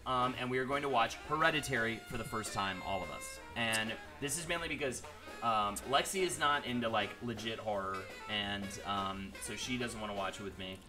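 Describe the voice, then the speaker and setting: A man in his thirties with a loud, projecting voice, hosting a casual livestream chat and rambling animatedly at a boom mic in a small room. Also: moderate pace, music in the background. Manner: rambling, animated, reads viewer comments aloud. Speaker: podcast host. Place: small dim room indoors. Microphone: studio mic on boom arm.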